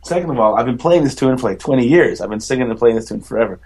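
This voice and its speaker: A man with a baritone voice, a musician chatting as a podcast guest, rambling enthusiastically with many fillers and restarts. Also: lively intonation, very fast, darkish tone, husky. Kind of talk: casual interview chat.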